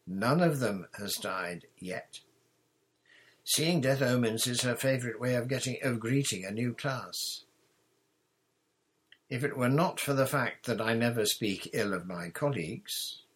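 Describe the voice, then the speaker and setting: Stern older female magic teacher, male reader, storybook; unhurried, exact, dryly no-nonsense. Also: mid-range voice, lively intonation, slightly rough, pitched above the performer's own voice. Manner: precise, matter-of-fact, dry.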